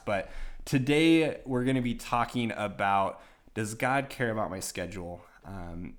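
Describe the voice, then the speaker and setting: Male podcast host, American, lower register, casual conversational delivery.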